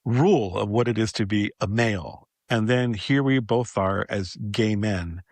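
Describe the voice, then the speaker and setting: Low gravelly-voiced man hosting. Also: unhurried, no echo. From Los Angeles, United States. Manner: thoughtful, asks long searching questions. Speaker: podcast host interviewing a friend. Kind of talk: reflective conversational interview.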